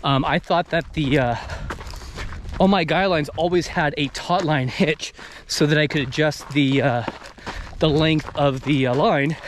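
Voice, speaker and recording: A man's voice: a little high, a little slow. A man in his thirties talking casually to viewers as the host of an outdoor video channel, out in the open. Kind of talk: casual freestyle vlog talk to viewers. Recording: noisy outdoor field recording.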